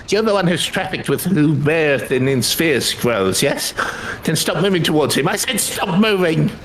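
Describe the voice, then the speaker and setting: A woman's voice: on the low side, a little hiss or hum. A woman voicing a pompous, menacing male alchemist henchman in a fantasy game, with an abrupt, commanding, theatrical manner. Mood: haughty, threatening. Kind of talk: acted reading of fantasy game dialogue.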